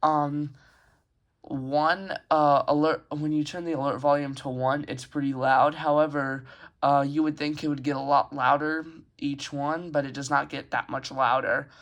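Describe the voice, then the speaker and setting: Casting: male hobbyist video host, unhurried pace, halting off-the-cuff delivery, casual home review. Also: fairly high pitch, little pitch movement, a bit bright. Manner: hesitant, halting, unscripted rambling. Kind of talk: casual show-and-tell review. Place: carpeted room at home.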